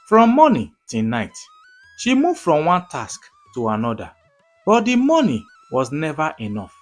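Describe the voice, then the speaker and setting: A woman narrating a scripted folktale in a dramatic, measured way, phrase by phrase. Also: on the low side. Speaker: storyteller, narrator. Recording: music under the voice. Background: background music.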